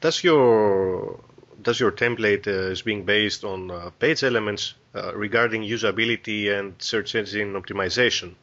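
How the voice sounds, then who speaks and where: A man hosting a podcast interview, asking questions haltingly with frequent uh fillers. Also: fairly low pitch, fairly bright tone. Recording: dull lo-fi audio.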